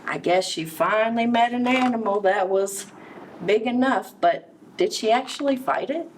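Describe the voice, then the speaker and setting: A woman reading a picture book aloud to young children, expressively, pausing to explain and ask questions. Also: a little high. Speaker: children's story reader, early education center director. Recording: distant mic with background noise.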